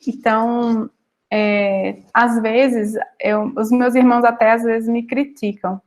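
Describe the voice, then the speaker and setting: A woman's voice: a little high, level tone, slow pace. A woman with a Northeastern Brazilian accent speaking informally as a guest panelist, often searching for words as she tells her own experience. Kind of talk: casual personal account in online panel.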